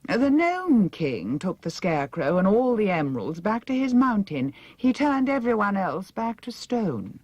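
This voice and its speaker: A woman's voice: medium pitch, sing-song, unhurried, few pauses, a little mellow, dry recording, dull audio, pitched above the performer's own voice. A woman voicing a vain young princess villainess in an audiobook, drawling and imperious, with cool menace. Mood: musing.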